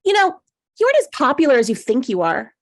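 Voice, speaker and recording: Woman acting sarcastic down-on-her-luck single woman, blunt, slightly high voice, comedy table read, dry sound. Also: wildly swooping pitch, fast talker, dry recording, heavily acted. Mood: petty, combative.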